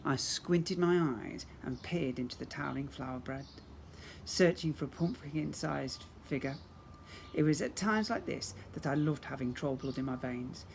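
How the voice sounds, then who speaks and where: A man reading a children's storybook aloud as a teacher, in an expressive, dramatic storytelling manner. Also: on the high side, gravelly, slight background noise.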